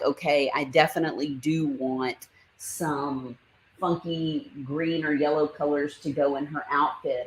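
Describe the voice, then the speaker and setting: Woman, low voice, casual livestream host, rambling chat, reads comments aloud. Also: fairly bright tone, slight background noise.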